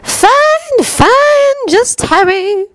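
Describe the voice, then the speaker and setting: A woman voicing a cruel, gloating dark witch in a high, shrieking, taunting voice for a storybook reading. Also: moderate pace, no echo. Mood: mocking, dismissive. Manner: dismissive, taunting.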